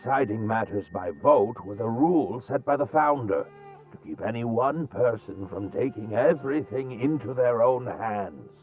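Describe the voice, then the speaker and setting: A man's voice: medium pitch, expressive, moderate pace, phone-quality sound, faint music behind, natural voice. A man acting a hot-headed, scornful sect elder in a video game, with biting, accusatory delivery. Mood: indignant.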